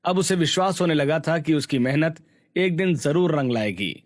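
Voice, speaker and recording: A man's voice: on the high side, lively intonation, moderate pace, no echo. A man reading scripted narration for a Hindi cartoon story.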